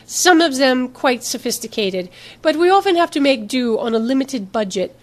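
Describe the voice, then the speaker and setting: A woman playing a smooth, cautious, authoritative female research doctor in an audiobook, speaking with polite formality. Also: high-pitched, expressive, normal speed.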